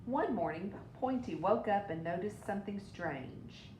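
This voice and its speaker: A woman's voice: lively intonation, a little slow, dark tone. A woman reading a children's story aloud as a storybook narrator. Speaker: storybook reader. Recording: echoey room with background rumble.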